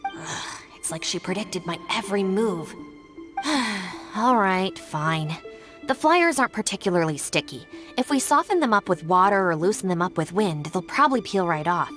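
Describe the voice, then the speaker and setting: A woman's voice: mid-range voice, animated, normal speed, over music, lightly acted. A woman playing a composed young woman in a video game, speaking in formal, businesslike phrases as she covers for her gang. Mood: resigned. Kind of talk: English video game voice acting.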